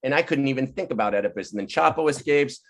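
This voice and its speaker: Man chatting and telling stories, animated. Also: mid-range voice, fast. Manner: animated, laughing often, acting out quoted people. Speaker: playwright and director leading a virtual table read. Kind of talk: casual, anecdotal storytelling chat. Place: online video call. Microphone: video call microphone.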